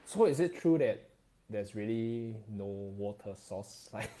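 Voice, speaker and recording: Mellow-voiced Singaporean man, hesitant. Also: lowish voice, expressive, slow pace. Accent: Singaporean, Singlish. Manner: hesitant, many fillers and restarts, ends phrases with "lah". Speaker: co-host guest. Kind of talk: casual live stream chat.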